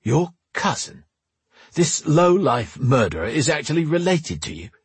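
A man's voice: lowish voice, animated, normal speed, husky, dry recording, exaggerated voice. A man voicing a hot-tempered, distrustful male fighter with a blunt, sour manner in an audiobook. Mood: angry. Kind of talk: audiobook character dialogue.

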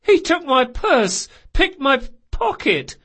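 A man's voice: very high voice, very animated, slow pace, sharp tone, voice pushed up, heavily acted. A man voicing a small sly boy in an audiobook, whimpering and pleading with over-earnest innocence. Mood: tearful, pleading. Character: small sly boy thief posing as tearful initiate.

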